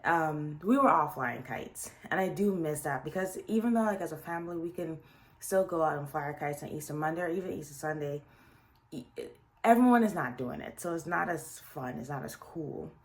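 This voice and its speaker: A woman's voice: deep voice, animated, moderate pace. A young adult woman from Guyana with a Guyanese accent, chatting casually as she introduces her series, often restarting sentences. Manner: chatty, with frequent restarts and fillers. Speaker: series host.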